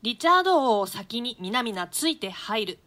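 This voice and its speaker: Casting: woman announcer for play directions, high animated voice, formal, long echoing reverb. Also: moderate pace, faint music behind. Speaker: stage-direction reader. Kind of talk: formal read-out stage directions.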